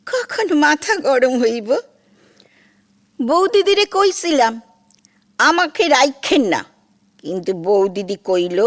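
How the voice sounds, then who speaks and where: A woman's voice: on the high side, a bit bright, full character voice. A woman playing a bossy, volatile, half-mad domestic attendant in a comic audio drama, with a rustic East Bengali accent and a coaxing, scolding manner. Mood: boastful, menacing.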